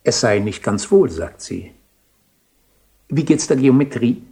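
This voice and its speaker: A contemplative, urbane bishop for classic radio drama. His mid-pitched voice has a gravelly creak. He speaks in a genial, musing way with lively melody. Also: a little slow. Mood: urbane, curious. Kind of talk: German radio play acting.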